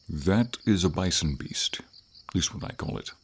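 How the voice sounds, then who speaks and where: A man voicing a friendly, noble silver dragon in an ASMR fantasy roleplay, speaking in an unhurried, thoughtful and slightly formal way with pauses. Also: low-pitched, animated, husky, full character voice. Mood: quietly proud. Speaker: voice actor. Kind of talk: ASMR fantasy roleplay, slow intimate storytelling. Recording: ambient nature sounds, crickets, light rain.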